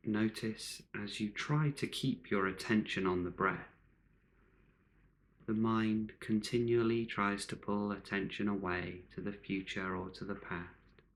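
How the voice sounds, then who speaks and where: A man leading a guided meditation in calm, measured short phrases with long pauses between them. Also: on the low side.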